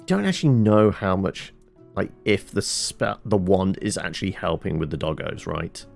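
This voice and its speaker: A man's voice: sits low, moderate pace, gravelly, soft background music. A man giving casual let's-play commentary, muttering his thoughts aloud as he plays and swearing now and then. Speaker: gaming channel host.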